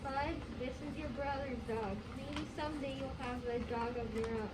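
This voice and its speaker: Female reader as excitable parent, high and noisy. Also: normal speed, lightly acted. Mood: gentle, explaining. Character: fussing, excitable parent of two young boys. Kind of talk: school readers theater reading. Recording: distant mic, loud background noise.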